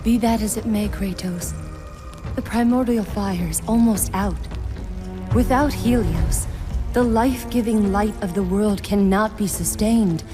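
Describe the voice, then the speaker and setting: A woman's voice: mid-range voice, a little slow, music in the background, natural voice. A woman playing a weakened, earnest goddess of dawn in a video game, pleading in solemn, formal speech. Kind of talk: dramatic video game acting.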